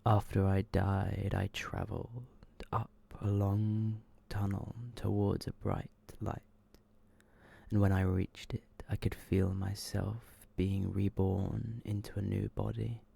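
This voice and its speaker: Male ASMR horror narrator. Dark, mellow, breathy and hushed, very slow and subdued, edging into whisper. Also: lowish voice, level tone. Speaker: ASMR storyteller. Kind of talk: ASMR horror story reading.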